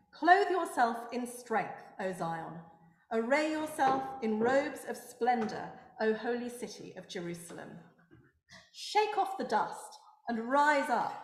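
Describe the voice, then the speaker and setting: A woman reading scripture aloud formally at a synagogue service, in measured, declamatory phrases. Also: a little high. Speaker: scripture reader. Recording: echoey room.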